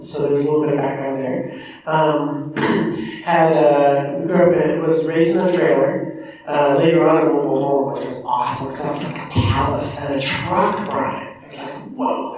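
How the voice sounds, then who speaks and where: A man from the Pacific Northwest telling rambling, animated stories while introducing himself on a panel. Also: a little high. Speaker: author, panelist. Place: large convention room. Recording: echoey room, far from the mic.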